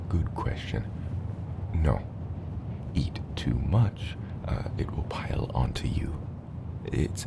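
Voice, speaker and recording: A man playing a gentle giant father dragon patiently teaching his hatchling in a hushed, reassuring ASMR roleplay, his voice breathy and gravelly. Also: exaggerated voice. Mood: explaining. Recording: loud low rumbling noise.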